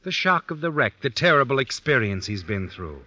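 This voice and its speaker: An actor playing an ominous, all-knowing storyteller, narrating an old-time radio mystery in a suspenseful, insinuating manner. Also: male voice, medium pitch, big pitch swings, moderate pace, bright, forceful tone, a little hiss or hum. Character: ominous, all-knowing shadowy storyteller who walks by night. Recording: muffled vintage radio recording. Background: music.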